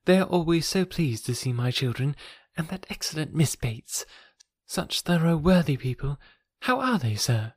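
A man's voice: fairly high pitch, big pitch swings, normal speed, husky, dry recording, clean studio sound, voice pushed up. A man voicing an affectionate young mother who speaks eagerly and politely, in an audiobook. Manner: eager, polite, softly raised. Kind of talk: audiobook character reading.

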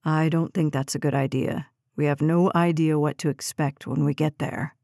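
Woman doing a steady policeman, low pitch, somber, firm, restrained, dry audiobook sound. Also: normal speed, gravelly, no room sound. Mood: reluctant, firm. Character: somber, steady male police trooper taking charge.

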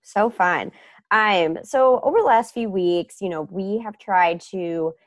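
A woman's voice: mid-range voice, dry recording, studio quality. A woman interviewer asking questions in a friendly, conversational way. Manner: friendly, quick affirmations.

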